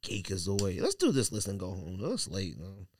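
Animated man bantering as podcast host. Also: low voice, normal speed. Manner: animated, slangy. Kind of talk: casual, bantering chat.

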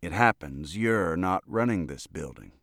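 A man's voice: medium pitch, sing-song, dry recording, clean studio sound, voice pushed up, lightly acted. Man acting a dignified, formal governor. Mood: acid, dignified. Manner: formal, measured, authoritative. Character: dignified, dryly acidic state governor, adult man.

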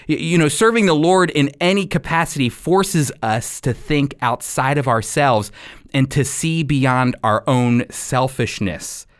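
A man giving a radio devotional as a Bible teacher, with emphatic, deliberate phrasing. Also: medium pitch.

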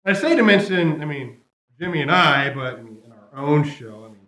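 A man's voice: fairly high pitch, animated, fairly quick, pushed and bright. A man doing live sketch comedy as a heckler, recorded in a very echoey, noisy room. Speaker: comic sketch performer.

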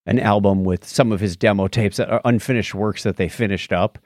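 A man co-hosting a podcast, chatting casually and recounting things in a rambling, animated way. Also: fairly low pitch, a little fast, no echo, studio quality. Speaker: podcast co-host. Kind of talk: casual podcast chat, recounting a nature documentary.

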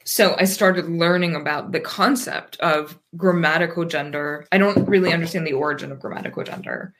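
A woman's voice: sits low, brisk, fairly bright tone. A woman in her thirties from Pennsylvania, a guest in a casual interview, talking thoughtfully with many ums and restarts. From Pennsylvania, USA.

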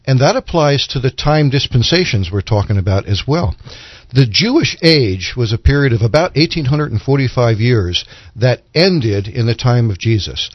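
A man speaking as a guest Bible commentator, explaining doctrine in a measured, didactic way. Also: mid-range voice, expressive, no echo. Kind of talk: explanatory Bible teaching in conversation. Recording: dull, lo-fi broadcast audio.

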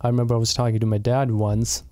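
Relaxed Punjabi-native man, dry sound. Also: medium pitch, fast talker, no room sound. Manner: relaxed and friendly, laughs easily, many fillers and restarts. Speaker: associate pastor of local mission. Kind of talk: casual interview chat.

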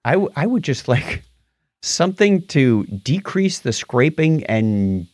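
Lively middle-aged man co-hosting a show. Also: medium pitch, no echo. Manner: animated, stumbles over hard words, does quick voices in quotes. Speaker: podcast co-host. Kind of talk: casual tech news chat.

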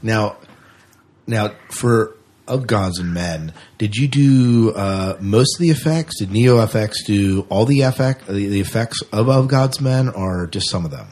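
Man, low pitch, husky, podcast interviewer, conversational questioning. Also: expressive, measured pace, darkish tone.

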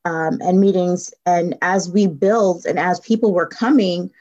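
A middle-aged woman from the South Bronx, a community organizer, answering interview questions earnestly, with frequent pauses and self-corrections. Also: fairly low pitch, steady tone, a little mellow, lo-fi sound. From South Bronx, New York.

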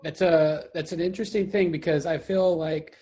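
A man hosting an interview in a relaxed, conversational way, often using "like" and "you know". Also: a little high, moderate pace, a little hiss or hum. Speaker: host. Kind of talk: casual interview chat.

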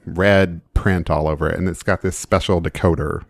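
Guy with a lowish voice hosting a game night podcast, teasing his buddy, dry close mic. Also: steady tone, normal speed, gravelly, no echo. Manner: playful, teasing, explains rules at length. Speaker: podcast co-host. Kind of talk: casual chat about board games.

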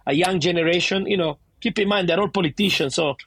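A man's voice: high voice, quick pace, fairly bright tone, breathy edge, no room sound, clean studio sound. A middle-aged man from Italy with a thick Italian accent, a bar owner talking animatedly and emphatically in a casual interview, often restarting his sentences.

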